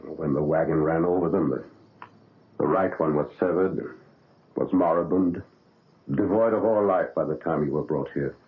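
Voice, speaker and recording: A man acting a grave older doctor and father with solemn, halting delivery in a 1970s radio drama. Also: a bass voice. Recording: muffled, tinny vintage radio broadcast.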